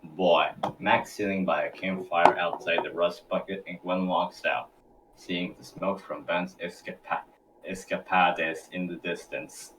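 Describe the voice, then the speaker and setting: A man's voice: low voice, fairly even intonation, a little slow, recorded from a distance, noisy background. A man reading scripted narration for a table read, now and then stumbling over his words.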